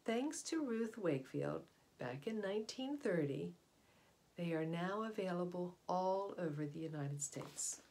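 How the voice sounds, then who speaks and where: A middle-aged woman reads a children's nonfiction book aloud with deliberate, expressive emphasis and explanatory asides, recorded indoors on a device at about arm's length. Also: lowish voice, gravelly, slight background noise. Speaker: read-aloud storyteller. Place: small indoor room at home, in front of wooden doors. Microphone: camera or device mic at about arm's length.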